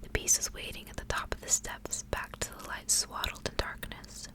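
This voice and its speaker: Female ASMR reader needed, whispering throughout, soft and measured. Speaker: ASMR host reading a storybook aloud.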